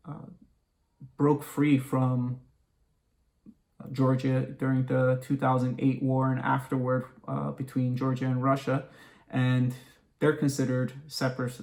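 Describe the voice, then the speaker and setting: Measured man explaining history, fairly flat delivery. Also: mid-range voice, vocal fry. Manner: measured, precise, formal wording. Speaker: history expert guest. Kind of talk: explanatory interview answers.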